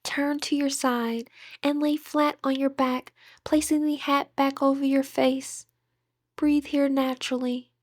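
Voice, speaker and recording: High-voiced woman guiding a meditation. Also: flat delivery, a little slow, no room sound, clean studio sound. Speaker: guided meditation instructor. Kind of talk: scripted guided meditation narration.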